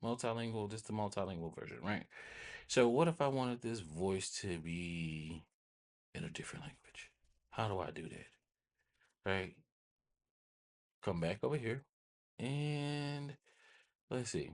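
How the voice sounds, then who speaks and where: An American man with a husky voice hosting a casual software tutorial, rambling informally and often checking in with listeners. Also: lowish voice, lively intonation, unhurried, long pauses. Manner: informal, rambling, repeats check-in tags like "right?" and "okay?". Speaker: host of a tech tutorial channel.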